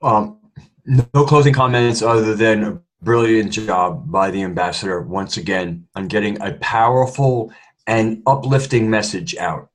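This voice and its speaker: A man speaks as a panelist, offering short remarks. His voice is on the low side. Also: a little slow, rough recording.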